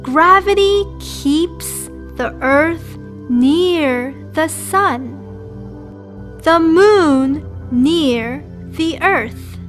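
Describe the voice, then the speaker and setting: A young woman presenting and reading for young children in an upbeat, clearly enunciated way, as a storytime host. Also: high voice, lively intonation, extremely slow, fairly bright tone, soft background music. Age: young adult. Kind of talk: children's read-aloud and presenting.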